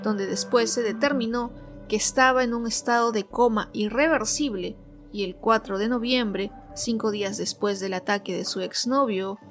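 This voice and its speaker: A woman narrating a scripted true crime story as host, in a measured, clearly phrased way. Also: a little high. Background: background music.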